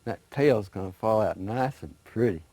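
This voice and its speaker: A man's voice: on the low side, lively intonation, normal speed, warm tone, no room sound. A man voicing a sly, friendly cricket in a folktale, coaxing and persuading in a casual way. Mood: helpful, persuasive. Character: sly, friendly cricket posing as a helpful barber. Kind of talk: animated oral folktale telling.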